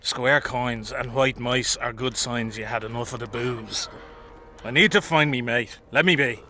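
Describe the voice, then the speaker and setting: Bright, coarse soldier. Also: male voice, medium pitch, measured pace, faint music behind. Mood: agitated, bitter. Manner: coarse, colloquial, combative. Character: blunt, boozy, grumbling common soldier in a fantasy army. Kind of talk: video game dialogue acting.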